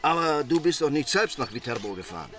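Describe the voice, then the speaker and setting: An actor playing a sceptical mob accomplice who asks probing, sardonic questions in a radio crime drama. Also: male voice, a little high, sing-song, fast, fairly bright tone.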